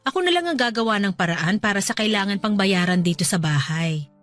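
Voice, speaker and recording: A woman playing a gentle, caring middle-aged wife and mother in a radio drama, speaking affectionately and soothingly. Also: medium pitch, animated, a little fast, lightly acted. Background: soft music bed.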